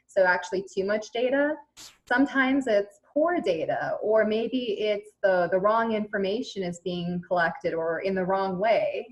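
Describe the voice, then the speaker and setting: A woman speaking as an expert guest in an interview, explaining things in a measured, conversational way. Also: medium pitch, lo-fi sound. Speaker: interview guest, evaluation consultant.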